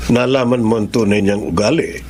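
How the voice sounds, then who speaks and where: An actor playing a caring, principled doctor with a Filipino accent, giving earnest, measured counsel in radio drama style. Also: male voice, mid-range voice, fairly even intonation, darkish tone, a little hiss or hum, lightly acted. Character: calm, caring male doctor, principled and advisory.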